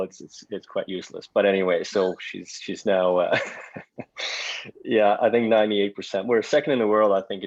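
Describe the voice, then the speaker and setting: A man from Sweden with a Swedish accent, a native Swedish speaker, sharing news in a halting way with repeated words. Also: on the low side, dull audio. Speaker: club member. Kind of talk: casual sharing.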